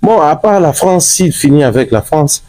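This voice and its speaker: A man's voice: a little high, animated, moderate pace, darkish tone, dry recording. A man from Congo giving a street interview, talking emphatically and slipping Lingala words into his French.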